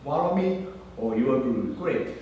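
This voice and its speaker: A man's voice: mid-range voice, normal speed. A man whose first language is not English, giving a business talk and acting out the people in his stories. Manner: animated storyteller acting out dialogue. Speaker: guest speaker. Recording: echoey room, mic far off, faint noise.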